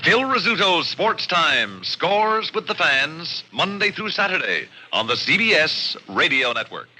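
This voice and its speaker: A man's voice: a little high, very animated, a little slow, pushed and bright, rough recording. A man reading scripted announcements in a formal, polished, emphatic old-time radio style. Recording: old network radio broadcast, faint music.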